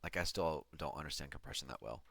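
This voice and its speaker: A man's voice: a bass voice, fast talker, creaky, bright, forceful tone, no echo, studio quality. A man giving an offhand, self-deprecating commentary as he breaks down an electronic track he produced. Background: music from the track being played.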